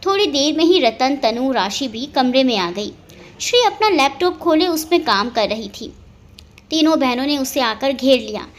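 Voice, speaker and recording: High, very expressive woman storyteller. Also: normal speed. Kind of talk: scripted dramatic story narration.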